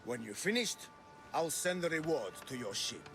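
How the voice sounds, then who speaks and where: A man's voice: on the high side, sing-song, normal speed, music in the background. A man playing a disgruntled, scheming islander in a video game, with an indignant, sardonic delivery. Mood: resentful, scheming. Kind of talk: video game cutscene acting.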